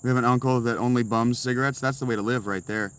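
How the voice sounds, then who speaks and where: A man's voice: medium pitch, fairly even intonation, quick pace, a bit bright, clear, resonant tone. A man streaming live from inside a tent, answering chat messages with blunt, sarcastic replies. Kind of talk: casual livestream chat.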